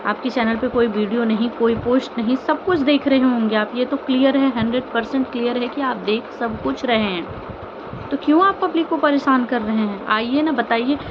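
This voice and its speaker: A woman's voice: a little high, normal speed, lo-fi sound, noisy background. A woman vlogger giving informal, earnest opinion commentary, pleading with listeners and repeating phrases and rhetorical questions. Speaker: vlogger commenting on online family drama.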